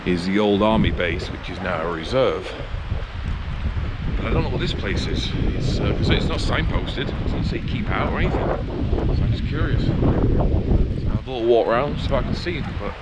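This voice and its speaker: A middle-aged man vlogs as he walks outdoors, camera held at arm's length. He comments casually and tentatively on what is around him, thinking out loud. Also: on the low side, animated, moderate pace, very noisy. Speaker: vlogger. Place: outdoors on a park road and path. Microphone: handheld action camera at arm's length.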